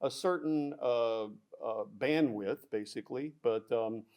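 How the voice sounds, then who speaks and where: Man explaining speaker specs with halting fillers. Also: mid-range voice, a little mellow. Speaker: audio product specialist. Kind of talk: technical product discussion.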